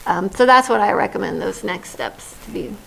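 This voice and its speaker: A woman's voice: mid-range voice, normal speed, creaky, low-quality recording. A woman board member discussing business at a public meeting in a frank, reasoned way.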